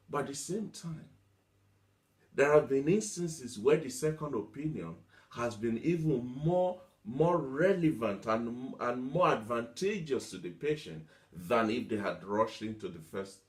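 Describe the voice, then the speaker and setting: A man preaching a motivational teaching sermon, emphatic, repeating himself and asking rhetorical questions. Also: medium pitch. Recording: echoey room.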